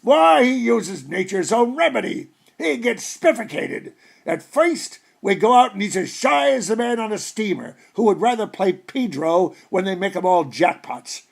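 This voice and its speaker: A man voicing a gossipy little terrier in a storybook, talking in animated old slang. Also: sits high, moderate pace, gravelly, pitched above the performer's own voice, full character voice. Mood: gossipy, amused.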